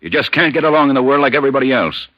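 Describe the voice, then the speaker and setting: An actor playing a stern American police chief in an old radio crime drama, questioning in a hard, scornful, insistent way. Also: male voice, medium pitch, animated, rapid-fire, dry recording, lightly acted. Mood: threatening, insistent. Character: stern, needling male police chief interrogator. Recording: muffled vintage radio recording.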